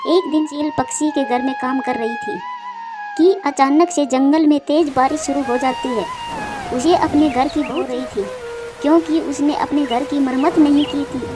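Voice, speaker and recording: A woman reading scripted narration for a cartoon moral story. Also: high-pitched. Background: clear background music.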